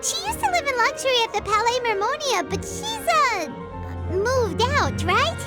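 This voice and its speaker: Excitable little fairy companion for a game, very squeaky, swooping delivery, cheeky and bubbly. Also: female voice, normal speed. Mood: eager. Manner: refers to self in third person, bubbly. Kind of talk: video game voice acting. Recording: loud music behind. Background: loud playful background music.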